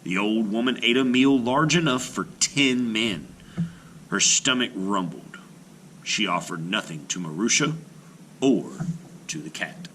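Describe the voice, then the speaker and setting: A man reading a children's folktale aloud as scripted narration, with dramatic delivery and hushed phrase endings. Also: fairly low pitch, expressive, a little slow, a little hiss or hum, rough recording. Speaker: storyteller, children's read-aloud host. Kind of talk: expressive scripted storybook narration.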